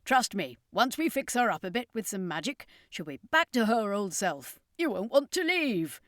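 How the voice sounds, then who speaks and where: A woman voicing a proud, world-weary male circus master in a fantasy audiobook, wry and deliberate in delivery. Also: mid-range voice, very animated, fairly bright tone, no echo, clean studio sound. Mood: proud reassurance.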